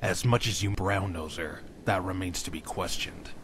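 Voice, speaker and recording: A man's voice: low voice, moderate pace, slight background noise. A man playing a brooding, curt anti-hero agent with a dry, disdainful manner in an audio drama. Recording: loud music under the voice.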